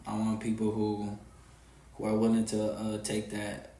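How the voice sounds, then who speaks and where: Flat, laid-back young Texan man. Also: fairly low pitch, a little slow. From Houston, Texas. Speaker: podcast co-host. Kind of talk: casual podcast chat. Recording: echoey room with faint hum.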